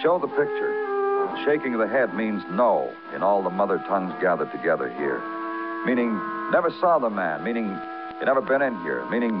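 A brooding American police detective tells his case in rhythmic, poetic lines with wide swings of pitch. The old radio recording is muffled, with prominent music around the voice. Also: very animated, fairly quick. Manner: terse questions, brooding rhythmic narration. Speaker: lead actor and narrator. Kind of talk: 1950s radio noir acting.

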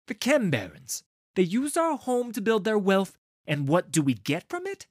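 A man acts out a charismatic young male rebel leader addressing followers in an audiobook. The delivery is deliberate and persuasive, with wide, expressive pitch movement and a dry, close sound. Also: vocal fry, dry recording, exaggerated voice. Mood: rising fury. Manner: persuasive, deliberate, impassioned speechmaking. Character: gentle young male cult leader turning fierce orator. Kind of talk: dramatised audiobook dialogue.

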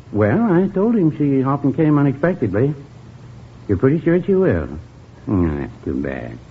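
An actor playing a mild, evasive, henpecked older shopkeeper, hesitant and apologetic, in 1950s radio comedy style. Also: male voice, medium pitch, phone-quality sound, lightly acted. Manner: hesitant, mealy-mouthed, apologetic. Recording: thin, hissy old radio broadcast.

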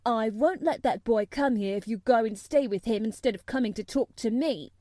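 A woman's voice: on the high side, moderate pace, mellow, dry recording, studio quality, close to an everyday voice. Woman voicing a petulant, imperious little boy. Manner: petulant, demanding, sneering. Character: spoiled, imperious, self-pitying invalid young boy. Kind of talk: audiobook character voice.

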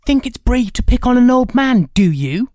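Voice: medium pitch, expressive, moderate pace, mellow, no echo, studio quality, pitched above the performer's own voice, close to an everyday voice. A performer voicing a bold, cocky older brother, a brash boy fighter in a storybook. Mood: angry. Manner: brash, commanding. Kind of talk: audiobook character acting.

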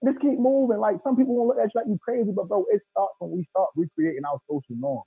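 A man's voice: sits high, fast talker, creaky, husky, dry recording. A man in casual chat, talking earnestly and emphatically, often saying bro. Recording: tinny phone-like sound, dry.

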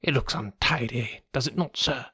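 A man voicing a wizened old book collector with a croaking voice and a deferential, wheedling manner, in an audiobook story. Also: mid-range voice, normal speed, bright, forceful tone, no echo, studio quality. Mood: wheedling, eager. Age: older. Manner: deferential, wheedling salesman talk.

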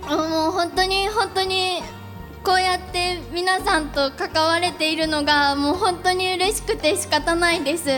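A woman's voice: very high voice, steady tone, normal speed. A woman giving a thank-you speech from a live event stage. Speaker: cast member of a radio show. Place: public event stage in a shopping complex. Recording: live event PA with music and crowd.

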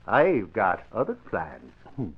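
A man's voice: fairly low pitch, wildly swooping pitch, takes it slowly, breathy edge, heavily acted. An actor playing a vain, bumbling, girl-struck newspaper reporter who boasts and stammers, in old-time radio comedy style. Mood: giddy. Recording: muffled old radio recording.

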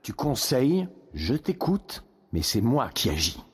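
An actor playing an aging Roman ruler, proud, weary and commanding, in a French audio drama. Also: male voice, medium pitch, very animated, unhurried, slight background noise. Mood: angry, imperious. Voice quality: husky, rough. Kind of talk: French audio fiction acting.

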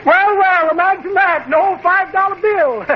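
A man's voice: very high voice, a little slow, dull audio. An actor playing an amused man making chuckling asides, in a 1940s radio comedy. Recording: hissy old radio broadcast recording.

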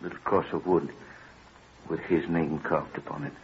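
A man's voice: very deep voice, level tone, moderate pace. An actor playing a solemn, broken-hearted pioneer father in an old-time radio drama. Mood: grieving, resigned. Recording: muffled, noisy vintage radio recording.

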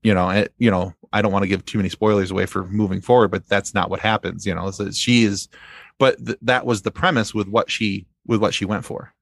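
A man's voice: fairly low pitch, steady tone, fairly quick, vocal fry, no echo. A man speaking as a podcast guest in a conversational fan discussion.

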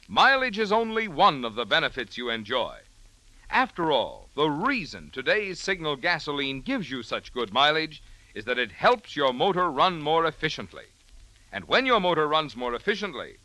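Animated American radio announcer, bright voice. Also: male voice, on the high side, no room sound, low-quality recording. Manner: polished, salesmanlike. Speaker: commercial announcer. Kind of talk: 1940s radio sponsor commercial, scripted.

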